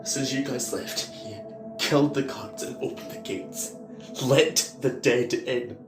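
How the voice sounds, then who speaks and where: A man acts out a wounded, grieving survivor of a zombie apocalypse in an audio drama. He speaks slowly and haltingly, breathy and apologetic, in an echoey space with music behind him. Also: medium pitch, little pitch movement, lightly acted. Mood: grim, halting. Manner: halting, breathy, pleading delivery.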